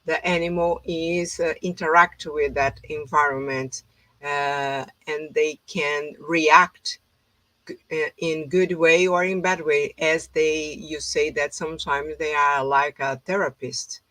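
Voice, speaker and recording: Slow-spoken Brazilian woman host. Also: low voice, little pitch movement, bright, forceful tone, no echo, low-quality recording. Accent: Brazilian Portuguese. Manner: hesitant non-native English with many fillers. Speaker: host, holistic therapist. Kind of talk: informal conversation.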